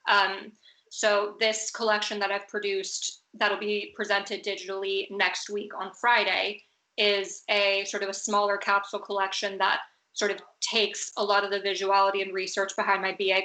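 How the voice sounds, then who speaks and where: A young woman designer talks in a level, even voice. Her tone is bright and crisp, and her words echo in a roomy space. She pauses often and restarts her thoughts. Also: mid-range voice. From United States. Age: 20s. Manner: thoughtful, hesitant, many fillers and restarts. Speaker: fashion designer. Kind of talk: recorded video discussion.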